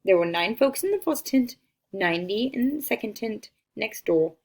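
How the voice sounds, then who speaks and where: A woman reading a children's picture book aloud as an expressive storyteller. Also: medium pitch, normal speed.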